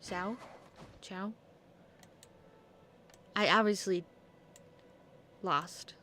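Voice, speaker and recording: Casual female game streamer, slow-paced and playful, sweary self-talk, with some clicky background noise. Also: mid-range voice, pushed and bright. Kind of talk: casual gameplay commentary.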